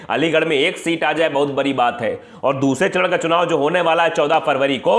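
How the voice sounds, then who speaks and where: A male news presenter with a high, very lively voice delivers political commentary. He speaks emphatically and colloquially, throwing out rhetorical questions. The sound has a strong room echo. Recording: echoey room.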